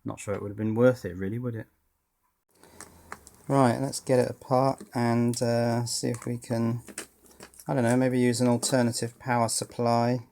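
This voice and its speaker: A man's voice: medium pitch, flat delivery, moderate pace, gravelly, a little mellow. A man giving unscripted teardown commentary as a hobbyist presenter, thinking aloud with dry, understated humour.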